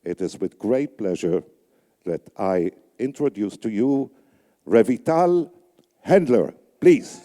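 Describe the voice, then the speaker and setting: An older man, raised on Yiddish, speaks slowly into a microphone on a conference stage, picking his words with small pauses. His voice is low and very dark, and it rings out in a large reverberant hall. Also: animated. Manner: deliberate, halting with fillers. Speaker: radio announcer hosting a conference. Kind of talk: emcee remarks on stage.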